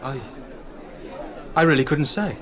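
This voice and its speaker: An actor playing a reserved, jealous young gentleman with a hesitant, evasive manner in a radio drama. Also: fairly high pitch, a little slow, crowd noise, lightly acted. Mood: guarded, evasive. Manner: hesitant, guarded.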